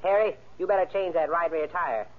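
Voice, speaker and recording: A man's voice: on the high side, fairly quick, slight background noise, close to an everyday voice. A man acting as a cold, cocky gangster ringleader in an old-time radio crime drama, with slangy, bossy tough-guy talk. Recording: tinny, band-limited old radio recording.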